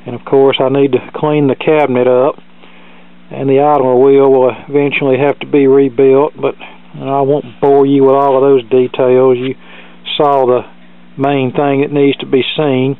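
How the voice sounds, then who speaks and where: A man's voice: medium pitch, flat delivery, moderate pace, clear, resonant tone, no room sound. A man giving a casual, matter-of-fact explanation of a hands-on repair, with a dry sense of humor, recorded in a workshop on a camera mic. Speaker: hobbyist repairer presenting a demonstration. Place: indoor cluttered electronics workshop. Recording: muffled, narrow phone-like sound.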